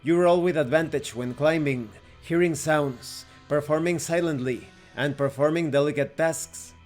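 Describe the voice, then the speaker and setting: A man's voice: on the high side, unhurried, darkish tone, faint music behind. A man narrating a scripted tabletop game review in a methodical, explanatory way, as the host.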